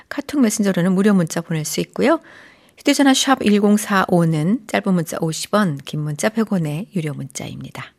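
A middle-aged woman hosting a radio show in a studio, chatting gently with listeners and often softening into a breathy near-whisper. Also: mid-range voice. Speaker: radio host. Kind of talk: warm radio chat reading listener messages. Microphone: studio microphone.

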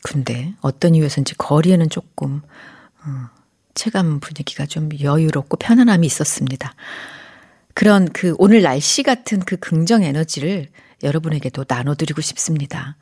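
A woman hosting a morning radio show, chatting gently with listeners, reading their messages and sometimes dropping to a whisper. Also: deep voice, normal speed, slightly rough. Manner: soft, gentle and chatty, often drops to a whisper and laughs lightly. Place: radio studio.

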